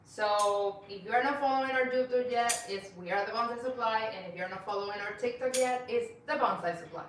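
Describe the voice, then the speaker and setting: A woman reads viewer comments aloud on a live stream, friendly and halting, pausing often with ums. She is heard from a distance in a very echoey room, with faint background noise and clicks. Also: on the high side. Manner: hesitant, many ums, friendly. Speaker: co-host reading viewer comments. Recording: echoey room, far from mic.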